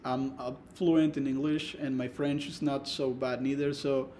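A man's voice: medium pitch, flat delivery, warm tone. Salvadoran guy, spanish accent, casual interview, lots of restarts, bit of background hiss. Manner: halting, many restarts and "like".

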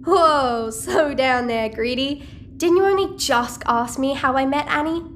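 A woman's voice: high voice, a little slow, a little hiss or hum. An actress playing a chatty, cheeky, nosy teenage girl in an audio sci-fi drama. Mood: friendly, teasing. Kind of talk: audio-fiction drama dialogue.

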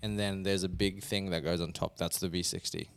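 Deep gravelly man. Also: flat delivery, a little fast, no room sound. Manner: easygoing, explanatory. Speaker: podcast co-host. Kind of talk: casual chat.

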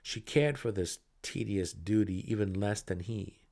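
A man reading a fantasy audiobook as a dramatic narrator, with theatrical, deliberate emphasis. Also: on the low side, creaky, a little mellow.

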